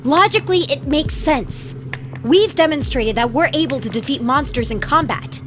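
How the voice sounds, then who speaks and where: A woman playing an earnest, insistent survivor in an audio drama, speaking in a halting way. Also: high-pitched, lively intonation, a bit bright, slight background noise. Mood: defiant reasoning.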